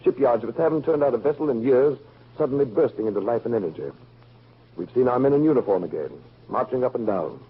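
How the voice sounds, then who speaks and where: A man's voice: mid-range voice, animated, fast, breathy edge. An actor playing an earnest, patriotic man in 1940s radio drama, speaking in a formal, oratorical way. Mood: grave, urgent. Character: earnest, patriotic man Nicky reading a wartime address. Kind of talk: 1940s American radio acting. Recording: muffled old 1940s radio recording.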